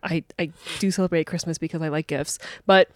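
A woman's voice: low-pitched, fairly even intonation, fairly quick, no echo, clean studio sound. A woman co-hosting a podcast in casual chat, chatty, rambling and playful. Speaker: podcast co-host.

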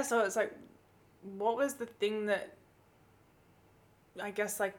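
A woman hosting a podcast, asking questions and backing her guest with steady mm-hmms and laughs. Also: fairly low pitch. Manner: encouraging, many mm-hmms and laughs. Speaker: podcast host. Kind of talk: casual chat, interviewing.

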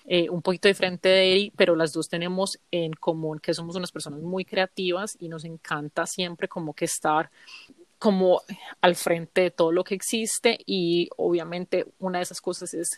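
A woman in her thirties speaks with a Colombian accent in a slightly low register. She is co-hosting a podcast, talking in an informal, chatty style, and the recording is dry and close with little room sound. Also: steady tone, normal speed, dry recording. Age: 30s. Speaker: podcast co-host. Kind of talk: casual conversational podcast intro.

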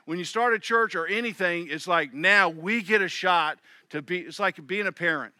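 Middle-aged male pastor, chatty sermon delivery, bright tone, dry close mic. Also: high voice, moderate pace, no room sound. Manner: conversational preaching with quoted inner voices.

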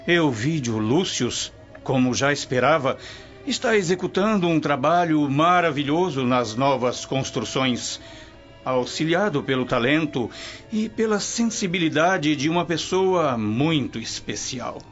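Genial Roman emperor, muffled recording. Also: male voice, a little high, animated, measured pace, fairly bright tone. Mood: genial, stately. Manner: genial, stately, teasing. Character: genial, worldly Roman emperor, self-assured. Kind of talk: radio drama acting. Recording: dull lo-fi radio drama recording with music. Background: music.

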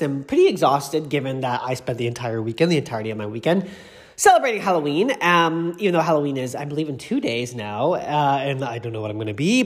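Gushing, congested man hosting a podcast. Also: a little high. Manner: gushing and effusive, rambling with asides. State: congested from allergies. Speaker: pop culture podcast host. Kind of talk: casual solo podcast chat.

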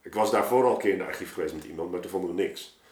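A man's voice: deep voice, rapid-fire, darkish tone. A man telling stories casually as a podcast guest, rambling and colloquial as he thinks aloud. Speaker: podcast guest, audio drama maker. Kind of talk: casual chat, informal storytelling. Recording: roomy, echoey space.

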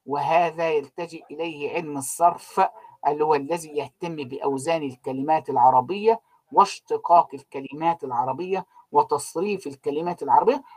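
A man giving an online university lecture, trailing off so students fill in the words, with frequent drawn-out fillers. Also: fairly high pitch, a little mellow, low-quality recording. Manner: keeps quizzing students with unfinished sentences, many drawn-out fillers.

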